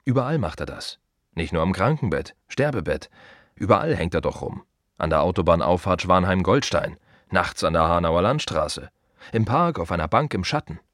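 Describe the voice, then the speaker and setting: A man's voice: low voice, expressive, normal speed, a bit bright, no room sound, clean studio sound. A man playing a world-weary, wry gravedigger in a radio play monologue, talking conversationally in short, emphatic, rhythmic repeated phrases. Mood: musing, wry. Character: world-weary, wry male gravedigger musing on death and the city.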